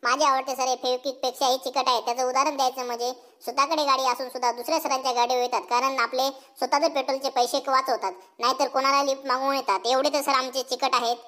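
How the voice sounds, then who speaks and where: A man's voice: extremely high pitch, flat delivery, quick pace, bright, forceful tone. A man voicing a bold, cheeky cartoon schoolboy with a rural Marathi accent and a blunt, folksy way of talking. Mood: mock-formal, cheeky. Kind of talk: comic cartoon dialogue and mock school speech.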